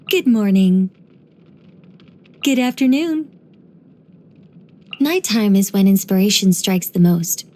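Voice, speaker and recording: A woman voicing a gentle, wry former angel turned human storyteller in a video game, musing and lightly teasing in an unhurried way. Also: mid-range voice. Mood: playful, musing. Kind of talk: scripted video game character voice lines.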